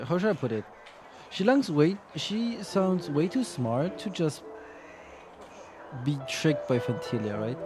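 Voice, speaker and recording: Slow-talking man, animated game streamer. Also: fairly high pitch. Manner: chatty, muses aloud, talks back to the game. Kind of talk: casual game commentary.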